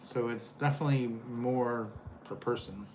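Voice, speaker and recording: A man's voice: medium pitch, normal speed, a little mellow, slight background noise, low-quality recording. An older man co-hosting a casual live Q&A, easygoing as he reads out viewer comments and answers them. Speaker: co-host.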